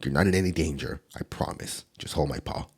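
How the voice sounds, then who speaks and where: A man doing a gruff, gravelly demon enforcer. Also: noisy background. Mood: gentle. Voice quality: deep gravelly husky voice. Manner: scolding, measured, low-key delivery. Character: stern male demon enforcer, gruff but protective. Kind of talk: ASMR audio roleplay.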